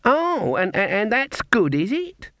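A man voicing an old country witch who feigns naive eagerness in a fluttery, halting way, as an audiobook character. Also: high voice, huge pitch swings, a bit bright, no room sound, studio quality, pitched above the performer's own voice, exaggerated voice. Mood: flustered delight. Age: older.

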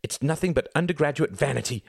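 Man voicing a shrill, indignant mother. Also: sing-song, normal speed, no room sound, clean studio sound, full character voice. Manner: snapping, demanding, exasperated. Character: sharp-tongued, indignant mother of grown sons. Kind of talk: dramatised audiobook reading.

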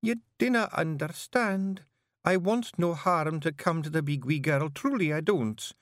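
A man voicing a small, stern female pixie clan leader with a sharp, commanding Scottish voice. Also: a little high, animated, normal speed, dry recording, studio quality, voice pushed up. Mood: pleading. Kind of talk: audiobook character voice.

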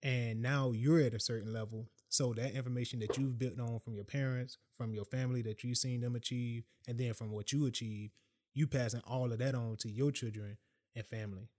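A man's voice: medium pitch, fairly even intonation, brisk, darkish tone. A man hosting a podcast, talking in a loose, casual way full of "uh," "like" and "man.". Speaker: podcast host.

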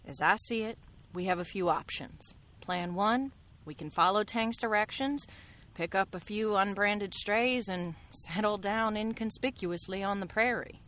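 Woman acting a frank, mid-pitched frontier woman. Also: unhurried, a bit bright, lightly acted. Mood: practical, persuasive. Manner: earnest, direct. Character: frank resolute frontier woman, the fugitive's companion. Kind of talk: radio drama acting.

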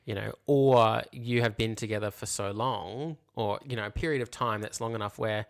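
Male podcast co-host, lowish gravelly voice, thoughtful and explanatory, conversational. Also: normal speed. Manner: hedging, explanatory, frequent 'you know' and 'like'. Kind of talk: reflective casual chat.

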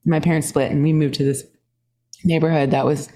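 Low mellow-voiced animated woman. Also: fairly quick, slight background noise. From Singapore. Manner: animated storyteller, pitch jumps up, laughs often. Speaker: guest musician, saxophonist. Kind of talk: conversational podcast interview.

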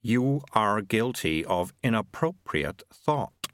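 A man plays an inhuman gentleman from a storybook, cold and formal. He delivers each phrase with slow, pompous weight, in a very dry, close sound. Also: lowish voice, sing-song, no echo, full character voice. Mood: cold, grandiose. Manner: deliberate, pompous, measured oratory. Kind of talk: audiobook character acting.

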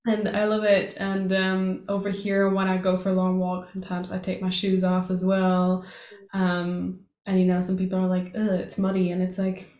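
A woman from Portugal hosting a casual podcast interview, affirming her guest and often pausing with "um". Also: lowish voice, completely flat delivery, darkish tone. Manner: affirming, lots of "um" fillers. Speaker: podcast host. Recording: echoey room.

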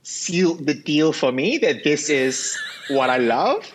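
A young man from Singapore telling his story as a guest, relaxed and halting, with frequent ums and self-deprecating humour. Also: a little high, heavy background noise. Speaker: cheesemonger guest. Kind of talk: casual interview chat.

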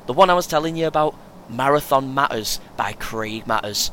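An actor playing a chatty, self-important young British amateur runner in an audio play, earnest and keen, chuckling often. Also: male voice, lively intonation, normal speed, fairly bright tone, natural voice. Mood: eager, preachy. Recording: faint vehicle noise behind. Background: vehicle rumble, coach ambience.

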